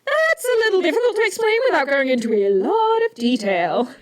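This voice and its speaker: Woman as high-voiced, excitable teen inventor hero. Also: a little fast, dry recording. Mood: intrigued, probing. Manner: excitable, geeky, expressive. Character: eager, brainy teenage inventor hero. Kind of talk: audio drama acting.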